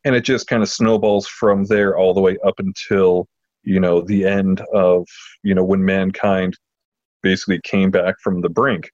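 A man chatting casually as a podcast host, enthusiastic but halting, often repeating words as he goes. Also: low-pitched, level tone, a little mellow. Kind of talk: casual chat. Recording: muffled lo-fi audio in a roomy space.

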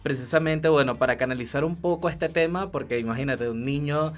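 A man's voice: a little high, a little fast. A man with a Venezuelan accent telling his own story conversationally as a radio guest, often adding short check-in tags. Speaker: interview guest, tarot reader and astrologer. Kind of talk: conversational personal account on radio.

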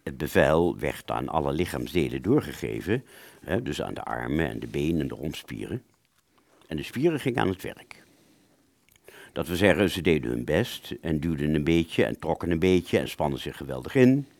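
A man's voice: rumbling low, sing-song, moderate pace, vocal fry, a little mellow, no echo. A man narrating a first-person story for an audio play, hesitant and conversational, drawing out words and suddenly stressing others.

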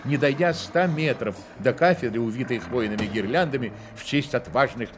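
A man's voice: medium pitch, normal speed, noisy background, lightly acted. A man acting as the wry author-narrator of a satirical radio play, reading scripted narration. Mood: wry, storytelling. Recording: narration with music behind.

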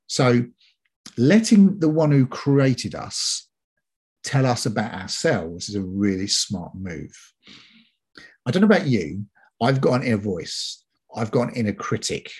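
A man's voice: mid-range voice, very animated, measured pace, a little mellow, breathy edge. A man giving an informal, conversational sermon, explaining in a chatty, hesitant way and often repeating himself.

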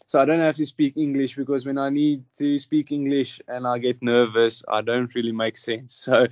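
A young South African man with an Afrikaans background answers questions in a flat, hesitant voice, stopping often to say um and restart. The recording is dry and somewhat dull, with little room sound. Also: medium pitch, moderate pace, dry recording. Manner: hesitant, many ums and restarts. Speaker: professional golfer, interviewee. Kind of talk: casual interview answers.

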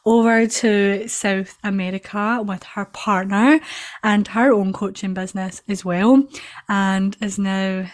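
A woman's voice: mid-range voice, steady tone, takes it slowly. A woman hosting a podcast in a gushing, enthusiastic way, often repeating her words as she goes. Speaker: podcast host. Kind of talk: casual podcast chat.

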